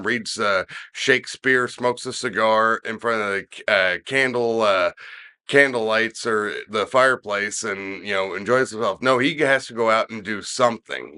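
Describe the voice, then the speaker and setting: A middle-aged man chatting on a podcast, his voice a little stuffed up and nasal today. His tone is bright and he rambles, circling back with restarts and fillers. He talks loosely and casually. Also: lowish voice, clean tone. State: stuffy nose, slightly nasal. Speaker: podcast co-host discussing wrestling. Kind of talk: casual chat.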